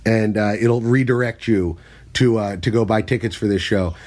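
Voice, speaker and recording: A man's voice: on the low side, moderate pace. A man who is a stand-up comedian, telling self-deprecating stories in casual podcast chat. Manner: storytelling, self-deprecating, animated when telling bits.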